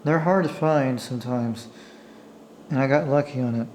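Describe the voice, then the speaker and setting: A man giving a casual, unscripted show-and-tell in a halting, apologetic way, as a hobbyist talking while he handles his camera. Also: medium pitch, a little mellow, a little hiss or hum. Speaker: amateur radio hobbyist showing a device. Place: indoors at a table. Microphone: handheld camera's own microphone.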